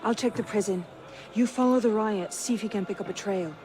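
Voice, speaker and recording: A woman's voice: mid-range voice, fairly quick, lightly acted. An actress playing a determined young noblewoman out for vengeance in a video game, speaking decisively and with command. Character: bold, determined young noblewoman revolutionary-era avenger. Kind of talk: video game cutscene acting. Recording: loud music and crowd under dialogue.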